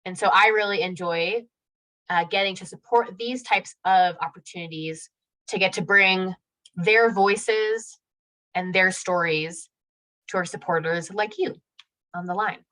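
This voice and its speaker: A woman's voice: mid-range voice, measured pace, a bit bright, low-quality recording. A woman speaking as host of an online event, giving prepared remarks to the audience.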